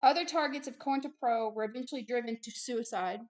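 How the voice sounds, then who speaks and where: A woman's voice: on the high side, quick pace. A woman hosting a religious video, reading aloud and preaching with an emphatic, insistent manner and short repeated phrases. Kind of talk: reading a book aloud with impassioned preaching commentary.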